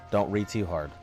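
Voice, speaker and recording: A man with a baritone voice chatting casually with viewers on a live game stream, in a loose, rambling way. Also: mellow. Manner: rambling, loose, chuckling at chat.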